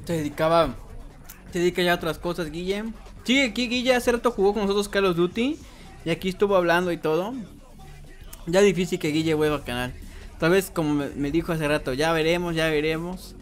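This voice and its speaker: A man's voice: high voice, a little fast, faint music behind. A young man with a Mexican accent chatting casually on a game livestream, reading viewers' comments aloud and answering them. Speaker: streamer. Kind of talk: casual livestream chat while gaming.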